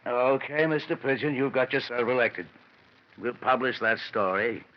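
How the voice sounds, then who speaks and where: An actor playing a gruff police lieutenant in an old radio detective drama, dry, laconic and wry. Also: male voice, medium pitch, steady tone, normal speed, natural voice. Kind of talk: 1940s-50s radio detective drama acting. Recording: dull vintage radio recording.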